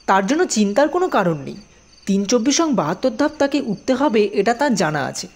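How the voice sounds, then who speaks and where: A woman reads a scripted story for an audio production. Her voice sits fairly high and moves in lively swings of pitch, giving the narration an expressive shape. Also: brisk, slight background noise.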